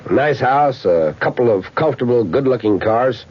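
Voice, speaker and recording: A man's voice: mid-range voice, animated, moderate pace, breathy edge. Folksy man in a radio commercial. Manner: folksy, chatty. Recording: dull old radio broadcast.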